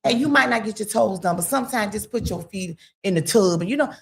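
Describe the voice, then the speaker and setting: A woman's voice: medium pitch, expressive, fast, creaky. Emphatic chatty woman on talk radio. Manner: rambling and emphatic, peppered with "you know". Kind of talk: casual radio talk-show discussion.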